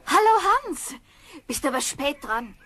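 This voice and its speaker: A friendly, easygoing country girl in a fairy-tale radio play. Her voice is extremely high and bright, with a simple, generous, inviting way of talking. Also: very animated, slow, exaggerated voice. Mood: friendly, bossy.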